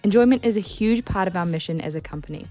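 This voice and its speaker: A woman reads scripted recruitment narration very quickly. Her tone is soft-edged and mellow, with music behind her. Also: lowish voice, animated.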